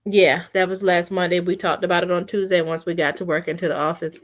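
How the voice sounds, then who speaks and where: A woman's voice: on the low side, level tone, fairly quick. A woman chatting casually in a rambling, unscripted vlog, sounding a little congested, on a built-in computer mic. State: mildly congested, sniffly. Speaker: vlogger practicing for a podcast. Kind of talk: casual personal vlog chat. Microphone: built-in computer mic, no external microphone.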